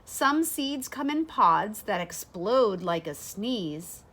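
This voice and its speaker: Expressive woman reading a children's book, lively pitch. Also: slow. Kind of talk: read-aloud of a rhyming children's picture book. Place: small sunroom with large windows, indoors.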